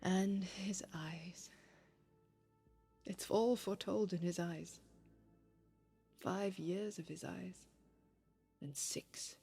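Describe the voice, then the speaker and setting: A woman playing a grieving, heartbroken lover in a poetic audio-drama monologue, measured and reflective, with breathy pauses. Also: fairly low pitch, fairly even intonation, warm tone, faint music behind, full character voice. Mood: wistful, grieving.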